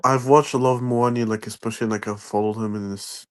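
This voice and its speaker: A man's voice: medium pitch, steady tone, fast talker, clean tone. A man co-hosting a casual football chat, firm and opinionated.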